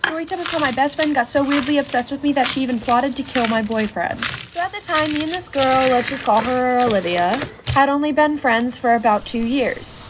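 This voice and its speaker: A woman's voice: high voice, fairly quick. A young adult woman narrating a casual storytime. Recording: clear background noise, crinkling sounds.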